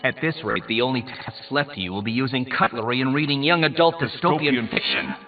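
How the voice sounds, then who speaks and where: An actor playing a smug, boastful mastermind villain in a video game, with pompous, theatrical, mocking taunts. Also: male voice, mid-range voice, sing-song, a little fast. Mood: petulant, mocking. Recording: tinny narrow-band audio, distant, music behind. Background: music.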